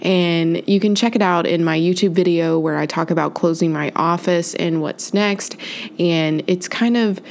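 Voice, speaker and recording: A woman with a congested, stuffy voice gives a rambling solo podcast update. Her voice sits a little low, and she talks in a casual, chatty way that keeps circling back and correcting itself. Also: fairly even intonation, normal speed, gravelly. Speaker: podcast host.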